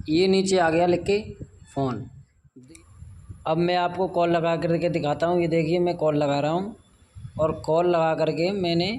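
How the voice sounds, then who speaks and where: Higher-pitched man, noisy tutorial recording. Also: darkish tone. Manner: repeats phrases and instructions as he goes. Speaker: tech tutorial host. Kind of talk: informal step-by-step phone tutorial. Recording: noisy amateur recording with background ambience.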